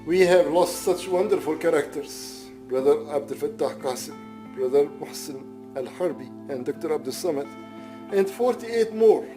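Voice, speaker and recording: A bereaved father reading a prepared statement aloud in a solemn, measured, formal manner. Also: fairly high pitch, expressive, music in the background.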